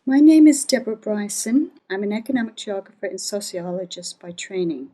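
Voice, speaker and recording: A woman's voice: medium pitch, lively intonation, fairly quick, mellow. A woman academic narrating a prepared first-person account of research. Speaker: academic researcher, economic geographer.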